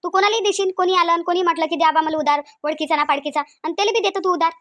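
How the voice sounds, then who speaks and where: Woman doing a nagging cartoon saas, super high voice, varhadi accent, talks crazy fast. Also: steady tone. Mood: scolding. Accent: Varhadi Marathi. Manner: nagging, loud, rapid scolding. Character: scolding middle-aged village mother-in-law, stern. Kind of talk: cartoon voice acting.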